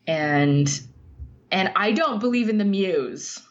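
A middle-aged woman speaking earnestly and thoughtfully as an interview guest, telling stories in halting phrases. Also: mid-range voice, lively intonation, dull audio. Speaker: podcast guest, writer. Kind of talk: reflective interview conversation, storytelling.

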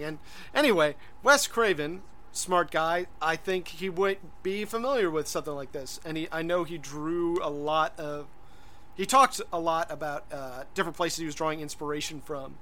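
A man's voice: fairly high pitch, normal speed, bright, forceful tone. A man co-hosting a podcast in casual chat, rambling and hesitant, full of fillers and restarts. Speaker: podcast co-host.

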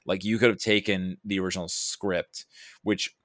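Low-voiced man thinking aloud. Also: level tone, fairly bright tone, no echo, studio quality. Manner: halting, self-correcting, thinking aloud. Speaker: podcast guest or co-host discussing a film. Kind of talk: casual film discussion.

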